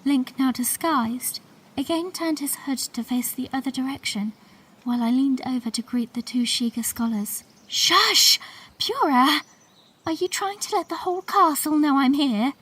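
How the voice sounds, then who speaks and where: Woman playing a high-voiced young storytelling princess. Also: animated, a bit bright. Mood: urgent hushing. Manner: measured, storytelling. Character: gentle young princess recounting her memories, wistful. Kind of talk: scripted first-person audio drama narration.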